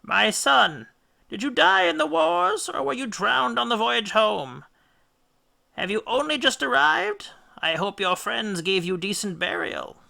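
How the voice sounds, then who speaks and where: Storybook ghost mother, played by a man: thin, very high, sorrowful and fretful. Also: very animated, a little slow, creaky, sharp tone, exaggerated voice. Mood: mournful, anxious. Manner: plaintive, questioning. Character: mournful ghost of a hero's elderly mother.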